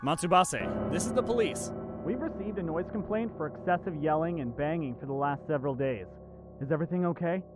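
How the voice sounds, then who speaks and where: A man's voice: fairly high pitch, fairly quick, close to an everyday voice. A man playing a polite, dutiful police officer in a dramatic manga dub, courteous and protective. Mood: polite suspicion. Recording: music behind the voice.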